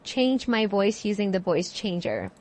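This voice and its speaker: A woman's recorded voice played back through an app's voice-changer filter, which turns it into a slow, flat synthetic preset voice. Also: mid-range voice, voice pushed down. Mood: demo. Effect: app voice-changer filter.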